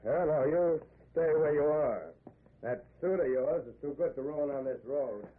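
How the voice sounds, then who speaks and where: An actor playing a rough, edgy male hitchhiker in an old-time radio drama, colloquial and hesitant. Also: fairly high pitch, normal speed, narrow, tinny audio. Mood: obliging. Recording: noisy, thin old radio recording.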